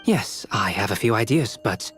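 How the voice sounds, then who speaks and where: An actor playing a calm, thoughtful, analytical male scholar in a video game. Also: fairly low pitch, normal speed, soft background music. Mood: pondering. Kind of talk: video game character acting.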